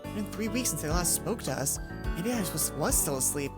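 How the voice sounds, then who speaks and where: Expressive woman narrating a game, music behind. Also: lowish voice, a little fast. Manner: expressive, acts out dialogue with casual asides. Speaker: let's play host. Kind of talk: reading a visual novel aloud with casual commentary.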